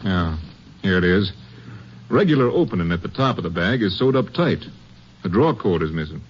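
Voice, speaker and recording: An actor playing a grave, businesslike Texas Ranger captain with a clipped, matter-of-fact manner in a 1950s western radio drama. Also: male voice, low-pitched, very animated, moderate pace. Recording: dull, faintly noisy old radio recording.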